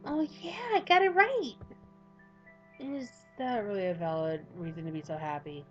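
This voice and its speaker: A woman voicing a bubbly, eager young schoolgirl in a high, chirpy, squeaky voice. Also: moderate pace, lo-fi sound, faint music behind, natural voice. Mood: excited.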